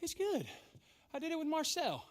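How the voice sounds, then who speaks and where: Young male outlaw, high-pitched, animated, earnest, hot-headed, dramatic Western game acting. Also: moderate pace, full character voice. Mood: eager.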